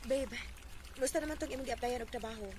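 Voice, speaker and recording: Casting: a woman to play a high-pitched, trusting, hardworking young Cebuana for radio drama; heavily noisy recording. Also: quick pace, lightly acted. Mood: questioning, caring. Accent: Filipino, Cebuano. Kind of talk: Bisaya radio drama acting.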